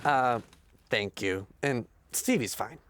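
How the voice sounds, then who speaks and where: Woman doing a really deep voiced park ranger, earnest n nerdy polite, up and down intonation, comedy audio drama. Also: expressive, slow, slight background noise, heavily acted. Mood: polite, curious.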